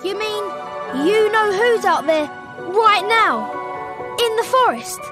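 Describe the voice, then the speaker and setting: A woman's voice: very high-pitched, lively intonation, slow pace, exaggerated voice. A woman voicing a timid, worried young schoolchild in a wizarding video game. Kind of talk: video game cutscene acting. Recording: game music behind the voice.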